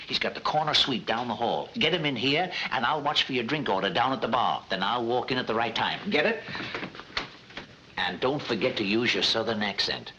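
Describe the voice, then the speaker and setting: An actor playing a brisk, bossy male con artist in an old film comedy, talking in a slangy, businesslike way. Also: medium pitch, bright, forceful tone, lo-fi sound, lightly acted. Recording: echoey, noisy old film soundtrack.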